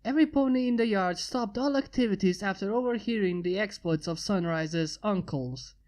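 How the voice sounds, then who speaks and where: A woman narrates a story in an even, steady reading voice. Her tone is mellow and warm, at an ordinary pitch. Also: moderate pace. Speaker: fanfiction reader.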